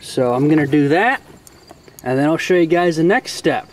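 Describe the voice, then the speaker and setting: A man with a slightly high voice talks through a hands-on job. He is folksy and plain-spoken, like an amateur showing viewers each step. There is some noise in the homemade recording, made outdoors around a car. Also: animated, normal speed. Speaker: host of a hobby how-to video. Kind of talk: casual hands-on tutorial narration.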